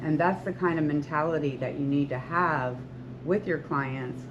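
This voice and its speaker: A woman in her sixties speaks warmly to a group of students as a veteran hairstylist. She is earnest and encouraging and rambles with frequent restarts. The recording is dull and lo-fi, with clear background noise behind her. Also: low voice, normal speed. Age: 60s. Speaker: hairstylist and salon owner. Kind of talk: talk to beauty school students.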